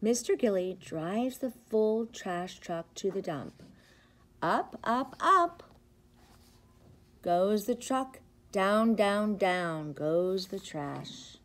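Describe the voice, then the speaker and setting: A middle-aged woman, a preschool teacher, reads a picture book aloud to young children with expressive, rhythmic, sing-song phrasing. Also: mid-range voice, very slow, slight background noise. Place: indoors, school classroom. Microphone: device camera at about arm's length.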